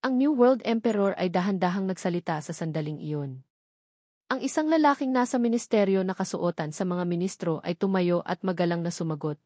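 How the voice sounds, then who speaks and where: A woman's voice: on the low side, expressive, fairly quick, dark tone, no echo. Woman narrating a story, dry close sound. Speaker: audiobook narrator.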